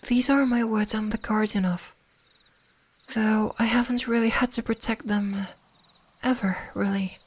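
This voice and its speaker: A woman playing a gentle, curious kitsune fox spirit in an ASMR roleplay, speaking softly, soothingly and a little hesitantly. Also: fairly high pitch. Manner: soft-spoken, soothing, hesitant, at times whispering.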